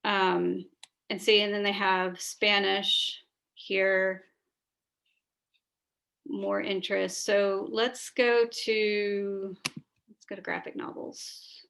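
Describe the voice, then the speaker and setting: A woman's voice: medium pitch, little pitch movement, takes it slowly, gravelly, long pauses, a bit bright. A woman with a tired, scratchy voice, chatting through a screen-share training on a video call, with many fillers and restarts. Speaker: school librarian leading teacher training.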